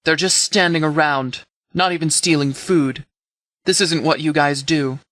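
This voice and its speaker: A man's voice: on the high side, steady tone, a little slow, fairly bright tone, no room sound, studio quality. A man playing a stern, blunt, battle-weary military leader in a video game, with a firm, clipped, authoritative delivery. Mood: angry, confrontational. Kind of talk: video game dialogue acting.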